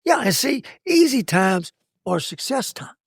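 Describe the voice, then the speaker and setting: A man with a raspy voice, a coach co-hosting a podcast, talking in punchy, preachy motivational bursts. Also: very high voice, very animated, unhurried, no echo, clean studio sound. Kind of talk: casual podcast chat.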